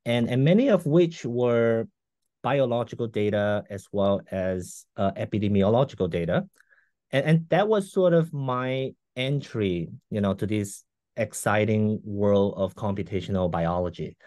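A man's voice: on the low side, lively intonation, measured pace, a little mellow, no room sound, clean studio sound. A man in his forties from Malaysia, whose first language is not English, answering interview questions casually with repeated words and fillers over a video call. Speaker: guest scientist. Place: room at home.

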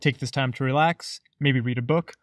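A man's voice: medium pitch, fairly even intonation, normal speed, faint music behind. A man narrating a cooking lesson in a scripted voice-over with a playful, jokey tone. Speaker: cooking show host.

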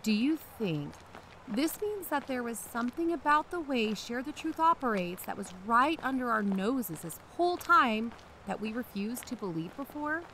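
A woman playing an excitable amateur investigator who hosts a podcast, in a scripted audio drama. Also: on the high side, normal speed, slight background noise, rough recording. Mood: incredulous. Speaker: podcast host.

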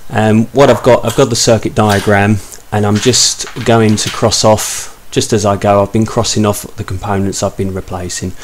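Low-voiced man explaining hands-on repair work. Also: level tone, normal speed, hardly any pauses, a little hiss or hum. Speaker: hobbyist electronics repairer. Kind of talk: informal step-by-step repair commentary.

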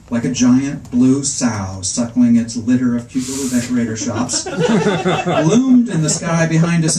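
A middle-aged man reads comic prose aloud at a public event. His voice is mellow and of medium pitch, and his delivery is wry and measured, with an arch turn on ornate phrases. The room around him is noticeably echoey. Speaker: author reading at a book event. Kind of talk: live literary reading of first-person comic prose. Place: indoor bookstore reading before a seated audience. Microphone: stand microphone, recorded from the audience seats.

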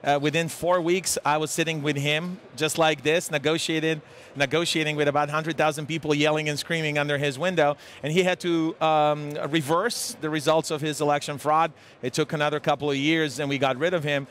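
A man in his forties from Serbia with a Serbian accent, speaking haltingly with many fillers as a guest in a live conference interview. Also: fairly high pitch, flat delivery, a bit bright, a little hiss or hum. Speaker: tech executive guest. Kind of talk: live trade-show interview. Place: busy conference hall. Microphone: headset mic. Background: crowd chatter.

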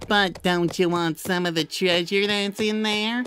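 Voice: medium pitch, fairly even intonation, unhurried, fairly bright tone, smooth, soft background music, lightly acted. An actor voicing a smug, bullying young pony colt, taunting and boastful, in a cartoon fan-fiction audio drama.

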